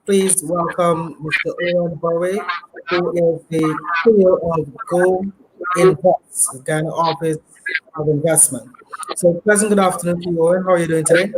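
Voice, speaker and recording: A man's voice: fairly high pitch, fairly even intonation, normal speed. A man hosting a live interview, polite and hesitant, with many fillers. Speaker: interview host. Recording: noisy live stream.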